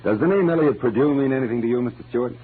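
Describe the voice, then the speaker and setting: An actor playing a tough, wry private detective in clipped 1940s radio crime drama style. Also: male voice, rapid-fire, a little hiss or hum, lightly acted. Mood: brisk questioning. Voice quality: low-pitched. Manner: clipped, wry, hard-boiled. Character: tough, wry male private detective narrating his own case. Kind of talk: 1940s radio acting and first-person crime narration. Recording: narrow, tinny old radio recording with music.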